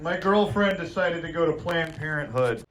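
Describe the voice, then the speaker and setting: A man's voice: fairly high pitch, moderate pace, distant mic, noisy background. A forty-year-old man from Japan performing a crude, self-deprecating stand-up routine on stage. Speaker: stand-up comedian. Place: comedy venue on stage. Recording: echoey live club recording with crowd. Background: audience.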